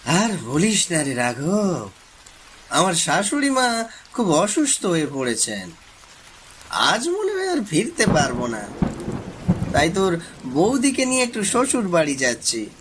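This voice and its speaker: Neighbourly married villager, acted by a man, cartoon style, high voice, folksy, faint noise behind. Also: huge pitch swings, a little slow, pauses often, fairly bright tone, full character voice, rough recording. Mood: concerned. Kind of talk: cartoon voice acting.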